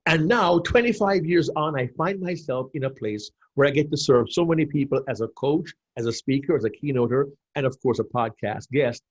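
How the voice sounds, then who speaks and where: Man, 50s, from Jamaica, husky, lively emphatic coaching, muffled lo-fi sound. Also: mid-range voice, brisk, few pauses. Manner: emphatic, repeats key phrases, addresses host by name. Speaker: public speaking coach, podcast guest. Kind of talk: animated coaching explanation.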